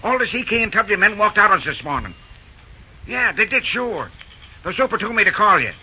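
An actor playing a folksy young railroad yard worker, talking in breezy slang, in a 1930s radio drama. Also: male voice, high-pitched, sing-song, a little fast, pushed and bright, slight background noise, heavily acted. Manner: breezy slangy phone talk. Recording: dull old radio recording.

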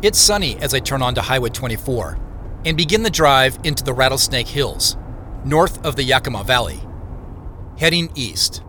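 An actor playing an introspective man who narrates his journey in the first person, earnest, reflective and measured. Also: mid-range voice, lively intonation, pushed and bright, a little hiss or hum. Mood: calm, descriptive. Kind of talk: scripted first-person audio drama narration.

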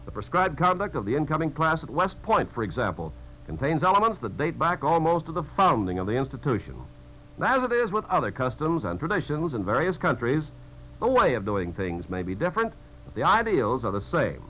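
An American man giving a scripted 1950s radio talk in a conversational, measured way. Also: a little high, very animated. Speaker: narrator of message about servicemen. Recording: dull, hissy 1950s radio recording.

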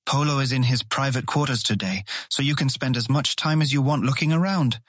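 A man's voice: medium pitch, fairly quick, a bit bright, no room sound, clean studio sound, natural voice. An actor playing an eager, proud young man showing a guest around in a fantasy audiobook. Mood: eager, informative. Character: eager, proud young male guild guide. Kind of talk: full-cast audiobook acting.

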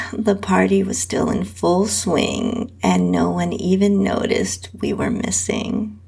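A woman with a husky, breathy voice, reading a scripted first-person adult story slowly as its narrator. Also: mid-range voice, darkish tone. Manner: slow, drawn-out reading with whispery dips.

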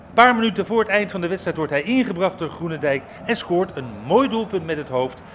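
A man's voice: high voice, expressive, a little fast, a bit bright, highs cut off, slight background noise. A man working as a football reporter, giving live match commentary for radio.

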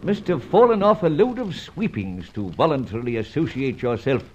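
An actor playing a debonair, mocking gentleman adventurer, suave and theatrically polite, in an old-time radio serial. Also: medium pitch, very animated, normal speed, a little hiss or hum, lightly acted. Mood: mocking, commanding. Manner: suave, teasing, theatrically polite, hesitant drawl. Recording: muffled vintage radio serial recording.

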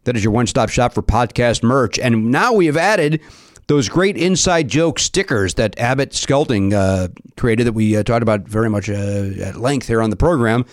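A middle-aged man with a raspy voice hosting a comedy podcast, talking loudly with quick, self-mocking jokes into a studio mic. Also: medium pitch, animated, no room sound. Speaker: podcast host. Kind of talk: casual comedy podcast chat. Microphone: studio mic with headphones.

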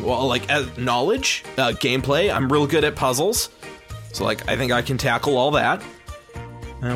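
A meek, likeable slacker in a reality-show audio drama. He rambles haltingly in a lightish high voice with faint music behind. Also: a bit bright. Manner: hesitant, mild, rambling. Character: meek, amiable young slacker contestant living with mom.

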